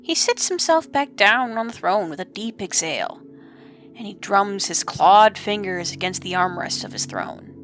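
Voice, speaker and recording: Expressive woman narrating an audio drama. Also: medium pitch, normal speed. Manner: expressive, suspenseful. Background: music.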